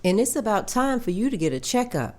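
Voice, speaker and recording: Woman reading firm daughter-in-law, mellow, gently insistent. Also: mid-range voice, big pitch swings, a little fast, close to an everyday voice. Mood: concerned. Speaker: table read actor. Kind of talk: table read.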